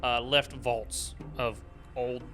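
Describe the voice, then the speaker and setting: A man's voice: medium pitch, steady tone, slow, music in the background. A man hosting a podcast in a casual movie review chat, exasperated and slipping into mock dialogue. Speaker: podcast host.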